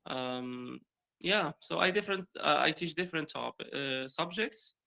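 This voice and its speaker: A young man from Morocco, a native Arabic speaker, talks slowly and hesitantly in English. His voice is gravelly and bright, and he restarts phrases often. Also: on the high side, lively intonation. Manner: hesitant, many fillers and restarts, laughs often. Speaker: primary school teacher practicing English. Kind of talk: casual conversation practice.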